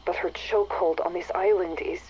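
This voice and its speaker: An actress playing an anxious, isolated research scientist who confides guardedly in an audio log. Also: female voice, on the low side, steady tone, fairly quick, darkish tone, natural voice. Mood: uneasy, musing. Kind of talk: acted audio log monologue. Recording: faintly noisy radio-like audio log.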